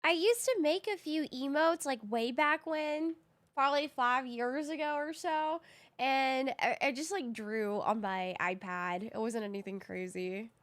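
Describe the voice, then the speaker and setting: High-voiced woman, casually streaming a game. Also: measured pace, fairly bright tone. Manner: slangy, informal. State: groggy voice, recently woken. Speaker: live streamer. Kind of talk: casual gaming stream chat.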